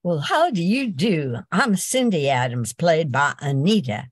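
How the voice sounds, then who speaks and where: Woman playing a low, slow Southern housewife. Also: very animated, no echo, studio quality, full character voice. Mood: folksy, coy. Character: genteel, fashion-minded Southern housewife in a radio ad. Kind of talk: amateur old-time radio commercial.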